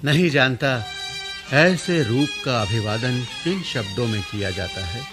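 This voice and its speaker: An actor playing an elderly, learned poet-guru travelling in disguise, speaking in a theatrical, courtly, deliberate way in a classical radio play. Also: male voice, mid-range voice, expressive, over loud music, lightly acted. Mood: courtly, genial. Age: older.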